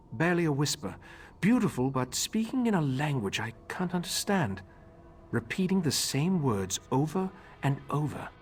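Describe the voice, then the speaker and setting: An actor playing a solemn, thoughtful mage leader in a fantasy video game, speaking in a measured, formal, ruminative way. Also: male voice, mid-range voice, a little hiss or hum, natural voice.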